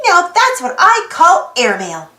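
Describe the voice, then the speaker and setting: A woman's voice: very high-pitched, huge pitch swings, a little slow, bright, forceful tone, roomy sound, voice pushed up, heavily acted. A woman voicing an earnest, cheerful and caring little girl in a children's storybook. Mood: giggly delight. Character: excitable, high-voiced little girl Annie, cheerful and caring.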